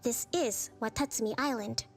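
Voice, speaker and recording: Actress as a high-voiced, serene young leader. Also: female voice, faint music behind, close to an everyday voice. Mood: calm, authoritative. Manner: measured, diplomatic, gently authoritative. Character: calm, poised young female island leader. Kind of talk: video game voice acting.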